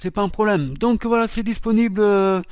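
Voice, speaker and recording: A man hosting his own web radio talk slot speaks in a slightly high voice. He pauses often and fills the gaps with hesitant sounds. The recording is close and dry, with no room around it. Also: a little mellow, smooth, dry recording. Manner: hesitant, many filler sounds.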